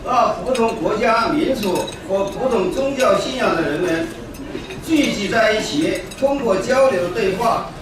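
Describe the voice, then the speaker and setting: Man, formal ceremonial speaker, high voice, booming hall echo. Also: lively intonation, a little slow. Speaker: conference speaker. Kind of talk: formal speech. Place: large conference hall. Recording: echoey hall, distant mic, noisy.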